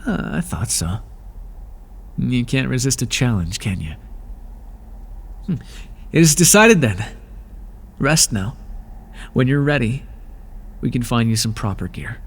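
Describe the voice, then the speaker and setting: A man's voice: medium pitch, animated, a little slow, pauses often, breathy edge. A man playing an aloof, lonely god of the underworld in an ASMR roleplay, talking in a dry, languid and sardonic way.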